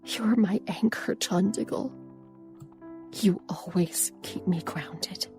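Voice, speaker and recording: An actress playing a tender, reassuring young woman in love in an intimate audio drama, with soft, breathy murmurs. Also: on the low side, slow pace, faint music behind. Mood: tender, earnest.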